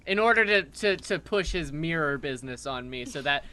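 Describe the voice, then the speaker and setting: A man hosting an improvised comedy show, chatting in an animated, rambling, mock-exasperated way. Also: a little high, normal speed, slight background noise.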